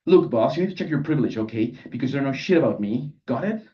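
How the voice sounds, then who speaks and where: A man's voice: a little high, very animated, fairly quick, voice pushed up. A man voicing an indignant, self-righteous young woman grad student in a live story reading, sassy and combative. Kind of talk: character dialogue in a live literary reading. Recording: dull sound, distant microphone.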